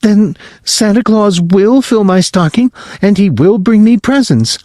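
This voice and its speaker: Meek little peasant boy, childlike and pleading, high voice, voiced by a man. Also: expressive, unhurried, warm tone, dry recording, clean studio sound. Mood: earnest.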